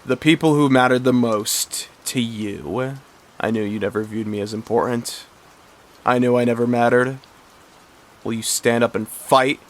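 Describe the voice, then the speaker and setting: A man's voice: mid-range voice, steady tone, unhurried, close to an everyday voice. A man voicing a spiteful male fish villain who taunts his brother. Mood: bitter. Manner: taunting, bitter.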